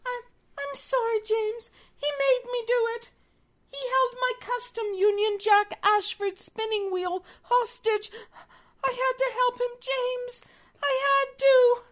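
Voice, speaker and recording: A woman's voice: extremely high pitch, lively intonation, slow, exaggerated voice. A woman playing a spy's flustered female sidekick in a spoof play, with pleading melodrama. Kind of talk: spoof spy radio play.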